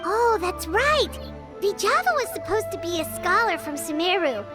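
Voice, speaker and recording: A woman voicing a small, excitable fairy-like girl companion in a video game, eager and exclamatory. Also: very high-pitched, normal speed, over music.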